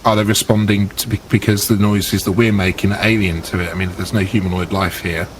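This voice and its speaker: A man with a very deep voice chats as a player in a role-playing game. He reasons things through in a considered, analytical manner, with faint noise in the background. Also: steady tone, fast. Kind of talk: casual game discussion.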